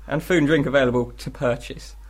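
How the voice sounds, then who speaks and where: A man with an average-pitched voice co-hosts a radio show. His chat is casual and comic, full of dry, sarcastic little asides. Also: steady tone, quick pace, slightly rough.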